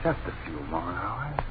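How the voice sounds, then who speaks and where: Protective anxious dad for vintage radio horror; low-pitched, swinging from hushed comfort to alarm. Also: male voice, full character voice. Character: protective, anxious young father sheltering his family. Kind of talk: old-time radio horror drama acting. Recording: muffled, noisy old radio broadcast.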